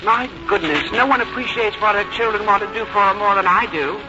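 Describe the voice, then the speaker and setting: A woman's voice: mid-range voice, fairly quick, lightly acted. Woman acting seventy-something mother, proud, wry, folksy, confiding, old radio play, muffled sound, music underneath. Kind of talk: 1950s radio drama narration.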